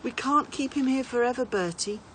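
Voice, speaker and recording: A woman voicing a gentle storybook mother who reasons with her son in a consoling, coaxing way. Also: on the high side, normal speed, a little hiss or hum, pitched above the performer's own voice, lightly acted. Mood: consoling, anxious. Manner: soft-hearted, coaxing, reasoning.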